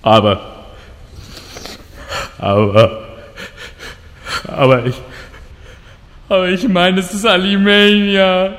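An actor playing a huge fire lord in a comedic fantasy audio drama, grandiose and theatrical, sulking like a hurt child. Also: male voice, high voice, pushed and bright, full character voice. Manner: grandiose, tearfully sulky, drawn-out declaiming. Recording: long hall reverb.

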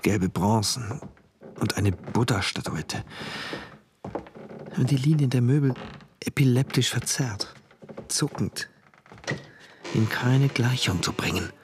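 An actor playing a rigid, troubled man from a regimented future, narrating a literary radio play in a deliberate, brooding, precise way. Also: medium pitch, lively intonation, noisy background. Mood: brooding, tense. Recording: music behind the voice.

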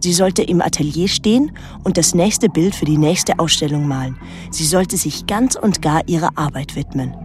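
An actress playing a troubled, watchful daughter who narrates an island mystery in a measured, literary way. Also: female voice, sits low, a little mellow, natural voice. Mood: restless, brooding. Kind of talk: literary radio drama narration and dialogue.

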